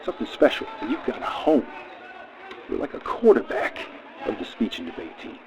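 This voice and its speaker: A man playing a blustering adult who cajoles children in an improvised comedy radio play, halting and conspiratorial with emphatic bursts. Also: mid-range voice, wildly swooping pitch, a crowd in the background. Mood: earnest pep talk.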